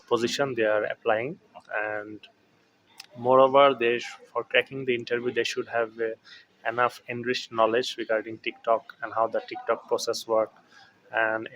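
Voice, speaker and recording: A man from Bangladesh answering interview questions at an office, explaining with frequent pauses and fillers. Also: mid-range voice, a bit bright, slight background noise, poor audio. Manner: hesitant, explanatory. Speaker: interviewee, quality specialist.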